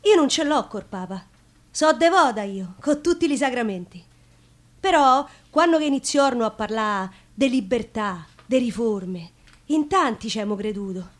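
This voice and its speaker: A woman's voice: fairly high pitch, lively intonation, moderate pace, natural voice. An actress playing an outspoken, devout working-class Roman woman, telling her story in colloquial dialect in a theatre monologue. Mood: proud, frank. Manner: plain-spoken, colloquial, confiding.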